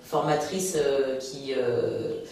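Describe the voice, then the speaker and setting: A woman dancer and choreographer answering interview questions, pausing often with filler sounds as she talks. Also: very deep voice, warm tone. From France. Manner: hesitant, many filler pauses. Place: echoey room in Abidjan. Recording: roomy echo, distant mic.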